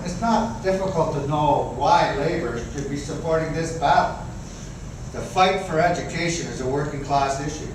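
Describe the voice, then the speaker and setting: Man making an earnest union speech, heard from afar with background noise. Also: medium pitch. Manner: earnest, rallying, with frequent fillers. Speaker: labour union speaker. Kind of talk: public speech at an event. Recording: echoey hall, far from mic, noisy.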